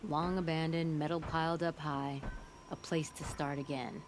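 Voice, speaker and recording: Woman playing a brash, low-voiced forge boss. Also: level tone, moderate pace, background noise, close to an everyday voice. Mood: boastful, amused. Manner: blunt, wry, folksy boasting. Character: brash, proud older forge boss woman, gruffly humorous. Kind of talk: video game character acting. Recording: music under the voice.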